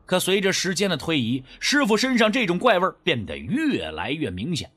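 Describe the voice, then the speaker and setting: A man's voice: high-pitched, wildly swooping pitch, brisk, a bit bright, husky. A man narrating a scripted suspense story as an audiobook, with a storyteller's dramatic phrasing.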